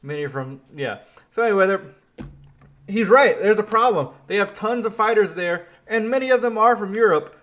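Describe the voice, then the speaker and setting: A man from Louisiana giving casual political commentary, animated and quick to laugh, with frequent restarts. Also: high-pitched.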